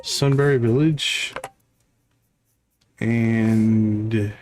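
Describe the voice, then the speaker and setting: A man's voice: on the low side, steady tone, very slow, a little mellow. A man hosting a game livestream, thinking aloud in casual, chatty commentary as he plays. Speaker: livestream host playing a video game. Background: game music.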